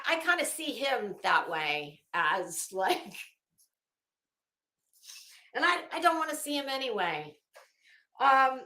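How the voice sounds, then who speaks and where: A woman host reading aloud and adding sarcastic, emphatic, halting commentary as she goes. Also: on the high side, bright, forceful tone. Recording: echoey room sound.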